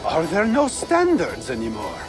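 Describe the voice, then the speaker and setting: Snooty advisor guy in a game, high voice, really slow and drawn out, pompous. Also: huge pitch swings. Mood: disdainful. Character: haughty, theatrical Frankish male advisor, wine snob. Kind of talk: video game dramatic dialogue. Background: background music.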